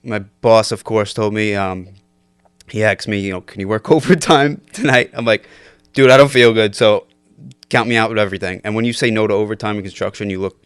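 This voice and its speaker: Low-voiced young man telling stories. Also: fairly even intonation, a little fast, clean tone, no echo. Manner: loose, laughing, lots of "like" fillers. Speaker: podcast guest. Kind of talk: casual storytelling chat.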